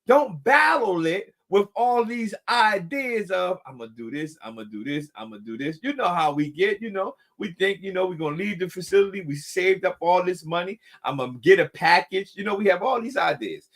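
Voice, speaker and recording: A man's voice: sits high, expressive, moderate pace, hardly any pauses, fairly bright tone. A middle-aged man leading a recovery group, giving a motivational talk that repeats phrases for emphasis and calls the listeners y'all.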